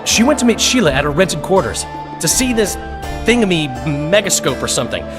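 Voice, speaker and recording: A man's voice: high-pitched, sing-song, fairly quick, music in the background. A male actor playing a jovial, flighty bard in a video game, chatty and theatrical. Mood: tipsy rambling.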